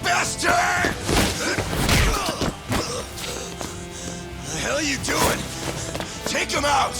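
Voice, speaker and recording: An actor playing a bitter, defiant yakuza gangster with a gravelly voice in a dramatic game dub. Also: male voice, extremely high pitch, expressive, takes it slowly, shouting, loud music behind the voice, heavily acted. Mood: furious, desperate. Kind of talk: English video game dub, dramatic acting.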